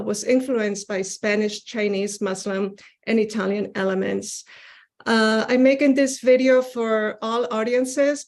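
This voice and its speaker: A woman from Ecuador giving an earnest seminar talk about her art over a video call, pausing often with "uh" and "um". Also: mid-range voice. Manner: earnest, with frequent "uh" and "um" fillers. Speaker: guest artist presenting her work. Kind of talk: online seminar presentation with slides.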